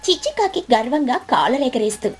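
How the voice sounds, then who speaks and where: A woman tells a scripted children's tale. Her voice is high and somewhat husky, with soft music running underneath. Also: very animated, a little fast. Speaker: story narrator. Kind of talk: scripted children's story narration. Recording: background music bed.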